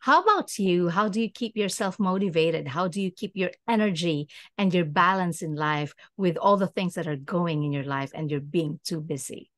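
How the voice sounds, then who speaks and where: Low-pitched woman interviewer. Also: normal speed, hardly any pauses, fairly bright tone, no room sound, studio quality. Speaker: interview host. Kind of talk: conversational interviewing.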